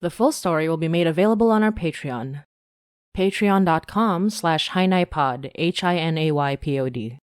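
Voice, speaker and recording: Woman, low-pitched voice, dry studio-like sound, podcast host, scripted storytelling narration. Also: normal speed, clear, resonant tone, no echo.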